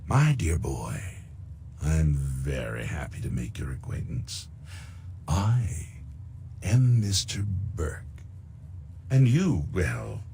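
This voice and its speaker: Game villain: middle-aged smooth-talking recruiter, very low creaky voice, pompous and menacing, lingering over words. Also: male voice, darkish tone, slight background noise, exaggerated voice. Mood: oily delight. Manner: pompous, unctuous, deliberate, florid diction. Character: suave, sinister middle-aged male recruiter for shadowy interests. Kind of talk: theatrical video game dialogue.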